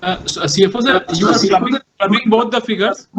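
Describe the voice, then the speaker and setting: High-pitched hesitant Indian analyst. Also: male voice, fast talker, a loud crowd. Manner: hesitant, repeats words. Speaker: analyst asking questions. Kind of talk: earnings call questioning. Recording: call audio with faint noise.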